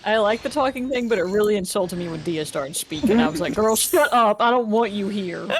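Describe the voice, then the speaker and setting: A woman's voice: medium pitch, animated, fairly quick. A woman chatting casually as a guest co-streamer on a game livestream.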